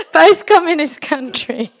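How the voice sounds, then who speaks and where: A woman from the Czech Republic, speaking English as a second language with a Czech accent. She addresses a church congregation earnestly, in slightly broken English, and laughs at her own slips. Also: very high-pitched.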